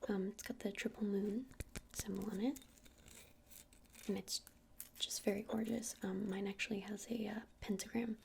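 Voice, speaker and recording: Female, ASMR witch shopkeeper roleplay: close breathy whisper, even and unhurried, slightly hesitant, some fry, faint noise. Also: mid-range voice. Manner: hesitant, unhurried, soft-spoken. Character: gentle witch minding a crystal shop.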